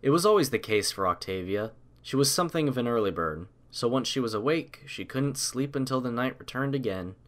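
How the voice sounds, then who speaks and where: A man reading a story aloud as scripted audiobook narration, in a measured, literary storytelling manner. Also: mid-range voice, lively intonation, a bit bright.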